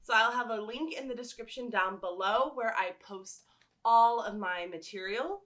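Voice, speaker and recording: A woman's voice: a little high, moderate pace, a bit bright. A woman talking casually to her viewers as a vlogger, telling stories in an enthusiastic, rambling way. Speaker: vlogger and fan fiction writer. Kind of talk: casual chatty talk to viewers.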